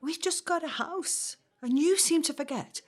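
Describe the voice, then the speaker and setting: Gentle, teasing young wife. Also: female voice, very high-pitched, normal speed. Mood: fond, practical. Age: young adult. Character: affectionate, teasing young pregnant 1950s wife and daughter. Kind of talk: naturalistic audio drama acting.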